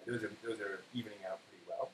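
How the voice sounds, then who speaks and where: A man's voice: on the low side, steady tone, very fast. A man hosting a casual cooking segment, thinking aloud in a hesitant way as he goes. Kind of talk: casual cooking show chat. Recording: echoey room with faint hiss.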